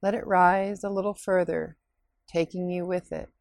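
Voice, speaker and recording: A woman leading a scripted guided relaxation meditation in a soothing, unhurried, instructing way with pauses. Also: on the low side, little pitch movement, darkish tone, dry recording, clean studio sound.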